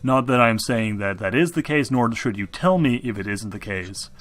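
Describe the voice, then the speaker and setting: A man running a tabletop game, talking casually and haltingly with some swearing as he improvises the narration. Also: lowish voice, lively intonation, a bit bright. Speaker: game master. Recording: music behind the voice. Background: background music.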